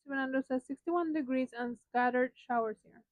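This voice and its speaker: A woman with a high voice reads viewer messages aloud on a live stream. She adds short, dry remarks between the comments. The audio sounds dull and muffled. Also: brisk. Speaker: co-host reading chat. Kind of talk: casual live chat.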